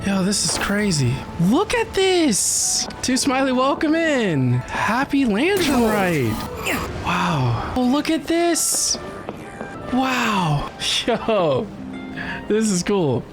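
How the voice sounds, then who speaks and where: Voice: mid-range voice, big pitch swings, extremely slow. Chatty, enthusiastic game streamer over music. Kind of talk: casual livestream game commentary.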